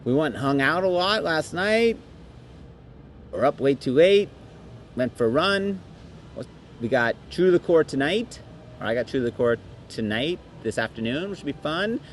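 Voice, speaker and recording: A middle-aged man co-hosts a podcast, his voice a little worn and hoarse from a long week. He rambles along, restarting sentences and throwing in jokes. There is a faint hum of noise behind him. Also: a little high, lively intonation, normal speed, pauses often. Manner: rambling, self-interrupting and joking. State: voice going hoarse. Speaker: podcast co-host. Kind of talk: casual podcast chat. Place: busy conference venue.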